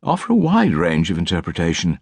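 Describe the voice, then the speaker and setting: Man voicing a cool, brisk woman doctor. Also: sits low, wildly swooping pitch, breathy edge, no echo, full character voice. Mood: brisk, professional. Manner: clipped, professional, neutral. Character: weary middle-aged female hospital doctor, cool and brisk.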